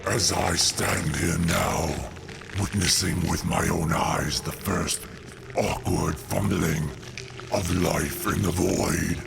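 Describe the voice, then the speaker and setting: An actor playing a vengeful, cold alien god-killer villain in a comic-book audio drama, with gravelly, grandiose, theatrical delivery. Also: male voice, low-pitched, fairly even intonation, slow pace, very noisy, heavily acted. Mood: cold contempt. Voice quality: gravelly, creaky. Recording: loud music under the voice.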